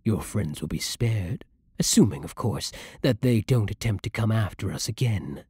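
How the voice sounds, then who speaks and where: Guy doing a sadistic vampire lord asmr, husky n breathy, super dry close sound, theatrical and menacing, seductive. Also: lowish voice, lively intonation, mellow, no echo. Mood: condescending, coaxing. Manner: slow, theatrical, silky condescension with dropping murmurs. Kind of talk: ASMR roleplay, scripted monologue to the listener.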